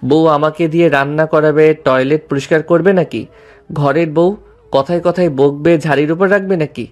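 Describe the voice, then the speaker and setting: A man's voice: a little high, steady tone, moderate pace, darkish tone. A man narrating a dramatized story in the first person. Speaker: story narrator and lead character. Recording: music behind the voice.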